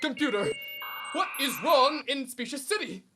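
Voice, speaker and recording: A man's voice: very high voice, animated, moderate pace, background noise. A young man playing a pompous, easily flustered suburban superhero in a comedic radio serial, declaiming grandly. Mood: self-important. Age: young adult. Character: pompous, needy, suburban amateur male superhero.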